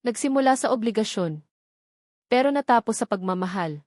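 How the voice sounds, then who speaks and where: A woman's voice: on the high side, lively intonation, a little fast, a little mellow, no echo, clean studio sound, lightly acted. A woman voicing a devoted, tender young man in a romantic drama story. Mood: tender, sincere.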